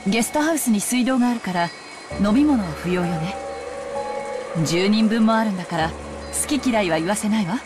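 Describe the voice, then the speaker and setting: An actress playing a cool, sharp-witted wife who talks in a dry, wry, confident way. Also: female voice, mid-range voice, lively intonation, racing, long pauses, over music, close to an everyday voice.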